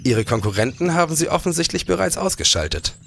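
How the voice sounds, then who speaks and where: An actor playing a resolute, shrewd nineteenth-century police detective in a crime audio drama. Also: male voice, a little high, very animated, creaky, a bit bright, heavily acted. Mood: assured. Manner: assured, deliberate.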